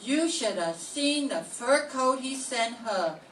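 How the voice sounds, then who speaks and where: A woman's voice: on the high side, expressive, slow pace, fairly bright tone. An older woman playing a nervous, streetwise young cannery worker in a radio drama, chatty and wary. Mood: gossipy. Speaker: amateur radio drama performer. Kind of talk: live reading of a 1940s radio drama. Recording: echoey room, distant mic, faint noise.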